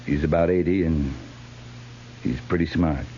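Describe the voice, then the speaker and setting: An actor playing a stern, resolute cavalry captain in a 1950s radio western, speaking in a clipped, grave, commanding way. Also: male voice, extremely low pitch, steady tone, measured pace, dark tone. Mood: firm, terse. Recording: muffled, noisy 1950s radio recording.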